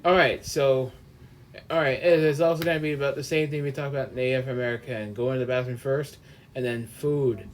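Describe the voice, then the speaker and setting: A man hosting a casual comedy podcast, talking haltingly with many restarts and frequent laughs. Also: mid-range voice, steady tone, slight background noise. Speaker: podcast host.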